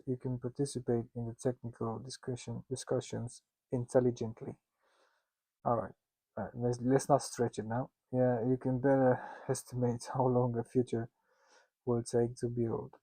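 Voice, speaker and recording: A man with a Russian accent teaches in a slow, unhurried way, with a low-pitched, gravelly voice. His tone is flat and mellow. He jokes at his own expense and stumbles through restarts, in a casual lesson. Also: no room sound, poor audio. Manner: joking and self-mocking, with loose asides; halting, with many restarts and fillers. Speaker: instructor teaching founders.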